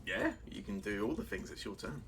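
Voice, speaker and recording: The man at the head of a game table with the rulebook open, bright and forward, talking players through their choices over faint music. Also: lowish voice, wildly swooping pitch, brisk, vocal fry. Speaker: game master. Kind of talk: running a tabletop game, casual rules talk.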